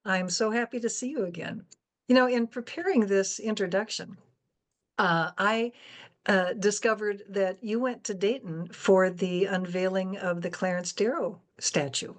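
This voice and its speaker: A woman's voice: medium pitch, unhurried. An older woman acting as moderator, introducing and interviewing a guest.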